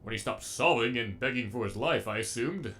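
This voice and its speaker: Creaky, theatrical robot villain. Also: male voice, medium pitch, lively intonation, fairly quick, a bit bright, slight background noise. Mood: mocking, menacing. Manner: theatrical, eloquent, menacingly polite. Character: sadistic, erudite robot villain leading an execution squad. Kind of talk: comic dub voice acting.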